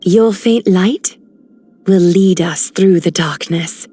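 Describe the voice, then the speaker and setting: A woman voicing a poised, graceful lady in a video game, measured and elegant in delivery. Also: fairly low pitch, warm tone, breathy edge, soft background music. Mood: serene. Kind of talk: scripted game character lines.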